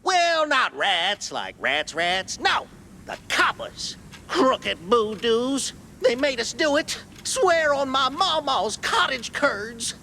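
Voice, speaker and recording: A man's voice: very high voice, sing-song, takes it slowly, pushed and bright, a little hiss or hum, full character voice. A man voicing a nervous, scrawny cartoon gangster foreman, folksy and pleading. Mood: panicked pleading. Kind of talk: animated game voice acting.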